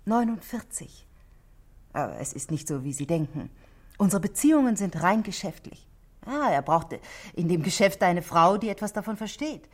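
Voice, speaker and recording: An actress playing a self-possessed, guarded married shop manageress in a radio drama, speaking precisely and defensively. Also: female voice, on the low side, lively intonation, moderate pace. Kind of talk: 1960s German radio drama acting.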